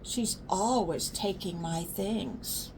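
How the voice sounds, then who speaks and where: A woman's voice: medium pitch, slow, darkish tone, a little hiss or hum, low-quality recording. An older woman voicing a curious, theatrical little girl who plays detective in a storybook. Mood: accusing. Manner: theatrical, emphatic. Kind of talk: storybook read-aloud.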